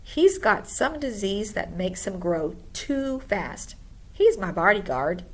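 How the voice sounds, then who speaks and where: A woman's voice: medium pitch, very animated, slow pace, heavily acted. A woman voicing a gawky, friendly storybook schoolboy who talks in an eager, emphatic way. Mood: eager, confiding. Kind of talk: storybook character voice.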